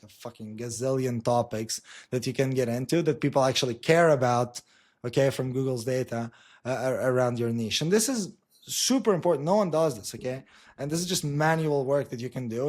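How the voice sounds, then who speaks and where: A man from his language talks through an informal explainer. He is blunt and emphatic, swears freely and keeps checking that the listener follows. His pitch sits in the middle range for a man. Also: darkish tone. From Portugal. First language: Portuguese. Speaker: marketing agency co-founder presenting.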